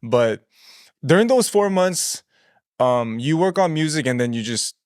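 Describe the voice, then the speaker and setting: A man who sings in a pop group, a guest talking in relaxed casual chat and explaining things at length. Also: a little high, measured pace, clean tone, no echo, clean studio sound. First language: English.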